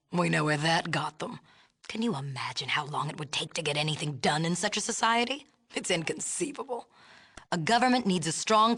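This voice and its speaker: Low-voiced, haughty, authoritarian city leader. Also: female voice, fairly bright tone. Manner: imperious, clipped, formal, condescending. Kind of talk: scripted video game dialogue.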